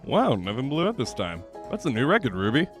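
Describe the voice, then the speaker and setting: A man's voice: mid-range voice, wildly swooping pitch, brisk, soft background music. A man voicing a cocky, sarcastic blind teenage boy in a fan audio drama.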